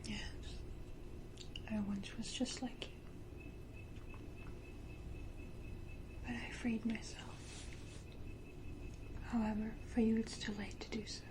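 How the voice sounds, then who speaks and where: A woman voicing a menacing, tenderly cruel vampire matriarch in a slow, breathy, theatrical whisper for an ASMR roleplay. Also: on the high side, little pitch movement, lightly acted, poor audio. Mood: menacing tenderness.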